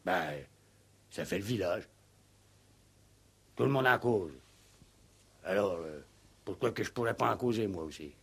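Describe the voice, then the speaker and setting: An actor playing a simple, stubborn village farmhand in a radio play, defensive and blunt, with hesitant, ungrammatical country speech. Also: male voice, sits low, lively intonation, a little slow, gravelly, pauses often, a bit bright, breathy edge, full character voice. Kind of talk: 1960s French radio play acting.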